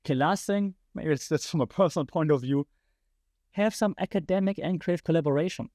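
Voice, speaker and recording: A man's voice: a little high, fairly quick, darkish tone, no echo, studio quality. A man with a German accent hosting a podcast, giving passionate solo commentary and putting rhetorical questions to his listeners. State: slightly losing his voice. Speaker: podcast host.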